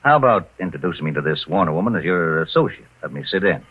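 An actor playing a brisk, blunt, slangy insurance detective in a 1940s radio drama. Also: male voice, deep voice, expressive, slightly rough. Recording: tinny narrow old radio recording.

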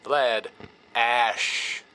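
An actor playing an awkward, polite teenage vampire country singer with a world-weary timbre, in an old radio interview. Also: male voice, medium pitch, steady tone, drawn-out words, pushed and bright, rough recording. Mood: awkward, earnest. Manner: awkward, earnest, folksy.

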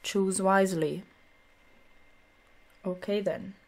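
A woman giving casual let's play commentary on a game, halting and muttering little asides to herself. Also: medium pitch, fairly even intonation, a little mellow. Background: video game music.

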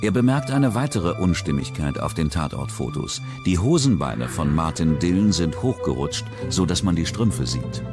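Brief: very low male voice-over, measured documentary delivery, clear music bed underneath. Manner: measured, even narration. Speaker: documentary narrator. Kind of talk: scripted documentary narration.

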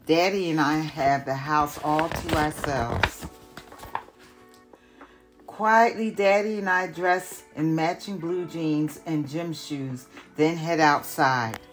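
An older woman, a retired teacher, reading a picture book aloud in careful, deliberate narration. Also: low voice, steady tone, soft background music.

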